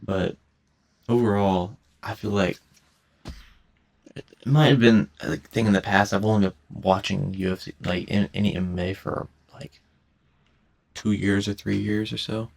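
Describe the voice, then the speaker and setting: A young man in his late teens rambling casually at home over gameplay, halting and self-conscious, with frequent restarts and stutters. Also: fairly low pitch, fairly even intonation, gravelly. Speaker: gaming commentator. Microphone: cheap headset mic.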